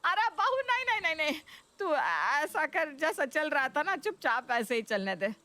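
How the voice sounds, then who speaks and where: A woman voicing an older, food-loving Indian mother-in-law who coaxes and exclaims with drawn-out words in a cartoon story. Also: high-pitched, big pitch swings, bright, forceful tone, dry recording, pitched above the performer's own voice, exaggerated voice. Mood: pleading. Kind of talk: Hindi cartoon story voice acting.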